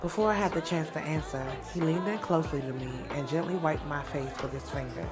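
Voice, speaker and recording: A woman's voice: deep voice, brisk, natural voice. A woman playing a sassy, candid young woman in an audio drama, telling her story in a casual, slangy way, swearing freely. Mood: reflective. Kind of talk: audio drama first-person narration and dialogue. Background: music.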